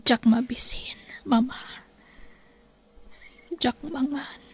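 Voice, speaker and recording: A woman's voice: sits high, steady tone, slow pace, breathy edge, heavily acted. A woman playing an ailing, weary young married daughter who hides her illness, with an Ilocano accent, in a radio-style drama. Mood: subdued, evasive. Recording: music behind the voice.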